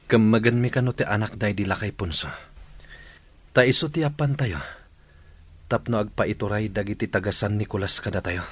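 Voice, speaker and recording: A man's voice: on the low side, moderate pace, long pauses, slightly rough, dry recording. An actor with an Ilocano accent playing a troubled, reluctant young man in a radio drama.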